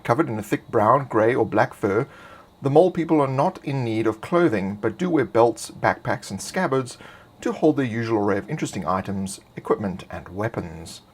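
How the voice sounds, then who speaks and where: A man reading scripted fantasy game lore in a measured, expository way. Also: mid-range voice, animated, a little hiss or hum. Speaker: narrator.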